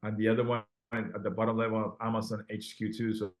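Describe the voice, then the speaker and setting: A man's voice: fairly low pitch, flat delivery, fast talker. A man from Peru, a guest telling his story in a casual interview, earnest and full of restarts and fillers.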